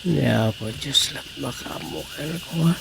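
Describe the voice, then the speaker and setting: A man's voice: medium pitch, measured pace, vocal fry, noisy background. An actor playing a suspicious, grumbling man in a radio drama, muttering and sighing as he talks. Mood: exasperated.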